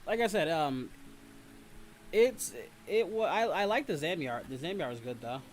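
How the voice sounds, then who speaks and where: A man's voice: fairly high pitch, animated, normal speed, a little hiss or hum. A man hosting a livestream, chatting casually in a rambling, sometimes profane way. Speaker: livestream host. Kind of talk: casual chat with listeners. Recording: music playing behind the voice. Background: background music.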